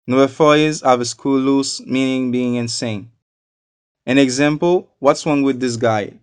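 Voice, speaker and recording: A man from Haiti, a non-native English speaker, giving a scripted English lesson with deliberate, explanatory enunciation. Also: mid-range voice, darkish tone, clean tone, dry recording. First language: not a native English speaker. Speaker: English teacher. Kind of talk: scripted educational narration.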